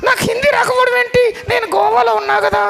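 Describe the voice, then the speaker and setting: A man voicing a cheeky, chatty little girl puppet in a squeaky falsetto, playful and bossy, for a children's puppet show. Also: little pitch movement, quick pace, creaky, sharp tone, exaggerated voice.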